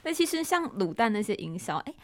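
A woman's voice: medium pitch, very animated, quick pace, creaky. A woman with a Taiwanese Mandarin accent hosting a casual podcast interview in a chatty, giggly, responsive way. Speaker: podcast host and radio drama writer.

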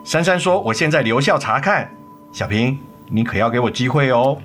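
Casting: devoted dad, played by a man, mid-pitched voice, gentle and apologetic, radio play with music underneath. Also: expressive, moderate pace, natural voice. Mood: playful, eager. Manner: tender, coaxing. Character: remorseful, devoted middle-aged father, gentle and eager. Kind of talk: radio drama acting.

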